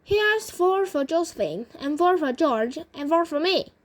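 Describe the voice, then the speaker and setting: A woman's voice: very high voice, sing-song, a little slow, voice pushed up, full character voice. A woman reading a storybook and playing a bossy, complaining old grandmother with indignant exclamations.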